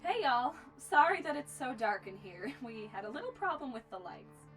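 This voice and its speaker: A woman's voice: high-pitched, sing-song, normal speed, a bit bright, soft background music. An actress playing a friendly, talkative young woman who keeps a remote frontier store, with a folksy manner. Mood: friendly, chatty. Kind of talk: amateur western film acting.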